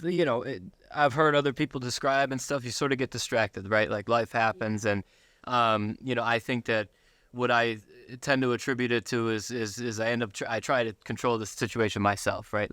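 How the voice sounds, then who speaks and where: American guy guest telling his own story, stop-start with repeated words, smooth voice, very dry close sound. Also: medium pitch, a bit bright, no echo. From Buffalo, United States. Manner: halting, repeats words, seeks agreement with tag questions. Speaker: interview guest. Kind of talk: personal testimony in casual conversation.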